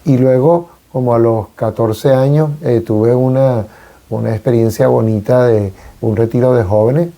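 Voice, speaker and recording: A man in his forties from Venezuela, a priest, talking on a radio show in an unhurried, reflective way with frequent hesitations. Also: mid-range voice, steady tone, dark tone. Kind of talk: casual conversation on a radio talk show.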